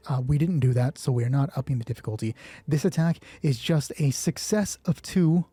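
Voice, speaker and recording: A man's voice: a little high, warm tone, slightly rough, no echo. A man narrating a solo tabletop roleplay as game master, informal and moving between dramatic storytelling and thinking aloud.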